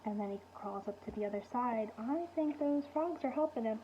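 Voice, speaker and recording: A woman teacher doing an expressive picture-book read-aloud for young children, commenting and asking prompting questions in an animated way. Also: on the high side, fairly quick, muffled recording, slight background noise. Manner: expressive, animated storytelling with gasps and exclamations.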